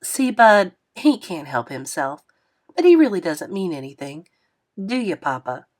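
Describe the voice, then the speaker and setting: A woman voicing a kind but scolding motherly woman who fusses over a child in a lively way. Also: fairly low pitch, measured pace. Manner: warm, animated, fussing.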